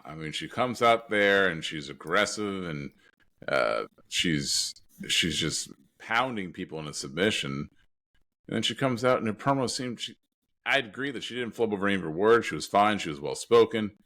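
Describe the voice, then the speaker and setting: A man's voice: on the low side, lively intonation, moderate pace, pushed and bright. A man co-hosting a podcast in casual chat, blunt and opinionated. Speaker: podcast co-host.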